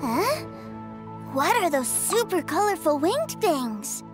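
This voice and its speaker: An actor voicing an excitable, innocent little boy in a video game, curious, proud and wide-eyed. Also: very high-pitched, slow, over music, heavily acted. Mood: eager, curious. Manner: eager, wide-eyed, childlike.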